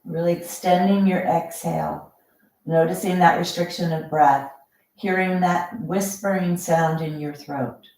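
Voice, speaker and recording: A woman teaching yoga breathing as a guided meditation, speaking calmly and without hurry in drawn-out instructive phrases. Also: lowish voice. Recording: very echoey room, distant microphone.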